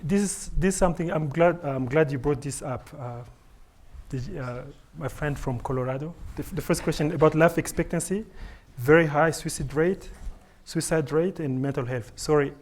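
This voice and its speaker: A man speaking English as a second language, giving an earnest, halting answer on a conference panel, often repeating and restarting his words. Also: fairly high pitch. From Africa. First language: not English; speaks French and Swahili. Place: conference hall.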